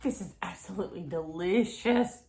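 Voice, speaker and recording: A woman's voice: fairly low pitch, moderate pace, vocal fry, mellow. A woman voicing a sweet, easily flustered mother bear in a storybook, with gushing exclamations. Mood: delighted.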